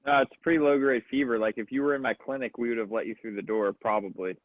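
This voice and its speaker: A man's voice: medium pitch, steady tone, quick pace, no room sound. Man, matter-of-fact, tinny phone-line sound, very dry. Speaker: podcast guest with clinic experience. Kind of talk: casual chat.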